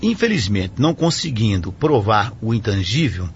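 A man's voice: on the low side, sing-song, moderate pace. Chatty male radio pastor, lo-fi sound. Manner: chatty, explanatory, often adds "né?". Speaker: radio program host and pastor. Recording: lo-fi radio broadcast with faint music.

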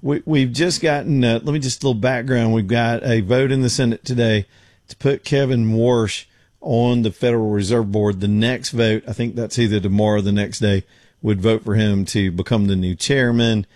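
A man hosting a talk radio show, hoarse and rambling, with lots of fillers and restarts. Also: mid-range voice, fairly even intonation, moderate pace, darkish tone, no room sound, clean studio sound. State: getting hoarse. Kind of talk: casual talk radio with live read ads.

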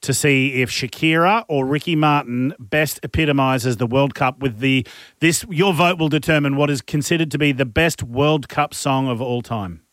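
A man's voice: mid-range voice, moderate pace, fairly bright tone, dry recording, studio quality. Jokey male radio host. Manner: jokey, chummy, laughs a lot. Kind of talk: breakfast radio banter. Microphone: studio mic.

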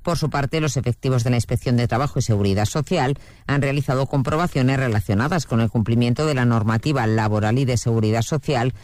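A man's voice: medium pitch, a little mellow, no echo, studio quality. A man with an accent from Spain reading a scripted news report. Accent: Spanish from Spain.

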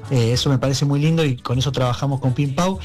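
A man with an Argentine accent speaking as a musician guest in an interview, reflective and halting, often checking for agreement. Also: mid-range voice, fairly even intonation, darkish tone, faint music behind. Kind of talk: conversational interview.

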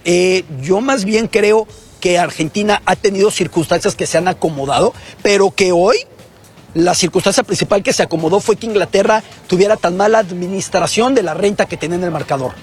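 A man giving measured, reasoned sports analysis in a casual discussion. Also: sits high. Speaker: sports pundit. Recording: music playing under the voice.

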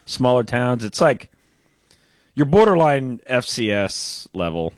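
A man's voice: medium pitch, sing-song, slow pace, no room sound. Male radio co-host, wry and opinionated, dry studio sound. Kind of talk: casual sports radio banter.

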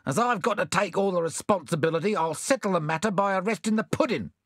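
A man's voice: sits high, fast, a bit bright, breathy edge, dry recording, clean studio sound, pitched above the performer's own voice. A man voicing a timid, peevish constable with a whiny, nervous way of talking.

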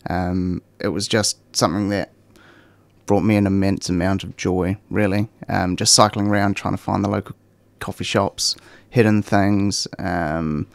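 A young man with a New Zealand accent, chatting as a guest on a talk show with a relaxed manner and lots of ums. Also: deep voice, measured pace, gravelly, no room sound. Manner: relaxed, many ums and fillers. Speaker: guest, cycling content creator. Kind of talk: casual interview chat.

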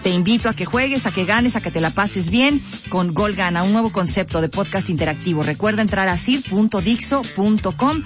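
A woman with a Mexican accent hosting a radio news show, lively and chatty with her reporter. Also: medium pitch, brisk, few pauses, lo-fi sound, over music.

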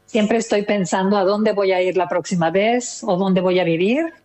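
Older female interview guest, Mexican accent, medium pitch, casual and chatty storyteller. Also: normal speed, darkish tone. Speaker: interview guest, retired listener.

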